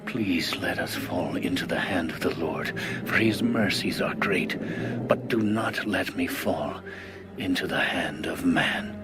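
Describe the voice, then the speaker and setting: An actor as King David, deep, slow and anguished. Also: loud music behind the voice. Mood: anguished pleading. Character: David, anguished penitent king pleading humbly. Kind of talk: dramatized scripture reading.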